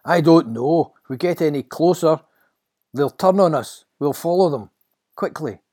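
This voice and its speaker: A man voicing a flustered, hot-headed disciple in a Bible story, excitable and prone to stammering. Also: on the high side, a little slow, pitched above the performer's own voice. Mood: urgent.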